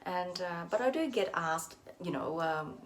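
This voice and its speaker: A woman's voice: fairly low pitch, lively intonation, a little slow, slight background noise. A woman with an Australian accent and a slight Vietnamese touch, teaching English in a casual vlog from home with many uh's and you know's. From Vietnamese background, lives in Australia. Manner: encouraging, many fillers like uh and you know. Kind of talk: casual direct-to-camera teaching. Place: at home indoors.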